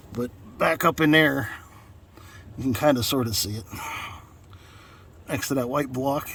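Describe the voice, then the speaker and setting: An American man giving a casual, unscripted walkthrough in a folksy, rambling way, often repeating himself. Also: medium pitch. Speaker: vlogger showing his truck radio install. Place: outdoors in and around a parked truck. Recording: clear background noise, handheld outdoor video.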